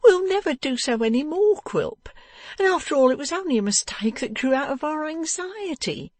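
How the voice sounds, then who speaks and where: A man voicing a timid, tearful wife in a storybook, pleading in drawn-out, breathy sobs. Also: very high voice, very animated, no room sound, pitched above the performer's own voice. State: sobbing.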